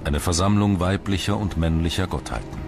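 Male documentary narrator, very low pitch, measured and formal delivery of scripted text, clear music bed underneath.

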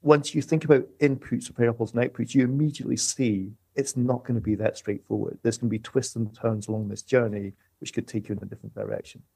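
A man guest on a podcast explaining economics in a measured way. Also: medium pitch, level tone, creaky, mellow, breathy edge. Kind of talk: podcast discussion.

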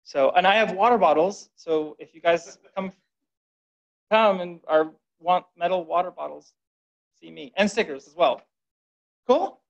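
An upbeat man at a podium finishes his presentation, talking informally with plenty of uhs. His voice is gravelly and a bit higher than usual. The room recording sounds dull and lo-fi. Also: a little slow, pauses often. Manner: upbeat, informal, filler words. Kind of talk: tech meetup presentation.

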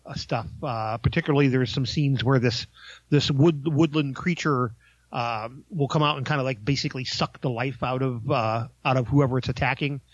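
A man's voice: mid-range voice, normal speed, husky, dry recording, highs cut off. A man giving a casual, informal film review, talking in a hesitant, rambling way.

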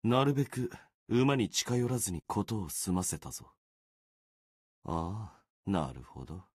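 Gloomy game sword spirit dude, slow husky muttering, down on himself, super dry close sound. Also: male voice, a little mellow, no room sound, exaggerated voice. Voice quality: low, husky. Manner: brooding, resigned, muttering with trailing pauses. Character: gloomy, self-deprecating young male sword warrior spirit. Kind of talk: Japanese video game character acting.

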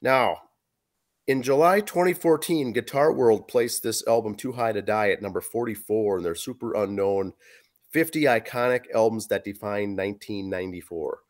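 Hoarse male co-host, forties, casual note-reading, webcam call. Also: lowish voice, normal speed. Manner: reads facts and track lists with fillers. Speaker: podcast co-host reviewing an album. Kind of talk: casual podcast chat, reading notes. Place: small room at home. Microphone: video call webcam.

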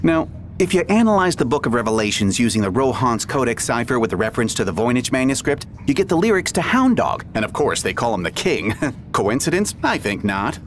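An actor playing an eccentric, smugly certain conspiracy theorist in a video game, eager and emphatic. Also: male voice, medium pitch, sing-song, fairly quick, a little hiss or hum, lightly acted. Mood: smug zeal. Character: zealous, self-satisfied male conspiracy theorist, eccentric. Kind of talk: video game cutscene acting.

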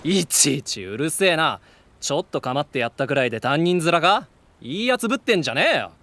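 Cocky, swooping teenage delinquent. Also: male voice, fairly high pitch, big pitch swings, a little fast, pushed and bright, dry recording, heavily acted. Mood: irritated. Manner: rough, sneering, brash slangy talk. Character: cocky, hot-tempered teenage male delinquent brawler. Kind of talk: Japanese voice drama acting.

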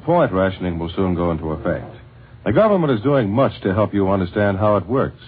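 A man's voice: fairly low pitch, breathy edge. An American man reads a vintage radio commercial as the announcer. His intonation moves widely and his tone has some husk to it. The recording is thin and tinny, like old 1940s broadcasting. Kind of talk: 1940s radio sponsor message, scripted.

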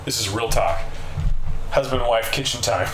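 A man's voice: mid-range voice, normal speed. A young man joking and reading chat aloud on a casual home baking stream with his wife. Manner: joking, reads chat aloud, laughs often. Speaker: co-host, husband. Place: home kitchen. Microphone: far room mic. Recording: echoey kitchen from far off, music behind.

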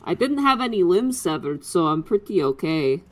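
A woman's voice: on the low side, sing-song, moderate pace. A woman playing a literal-minded, matter-of-fact construct in a tabletop roleplaying game, speaking with pedantic precision.

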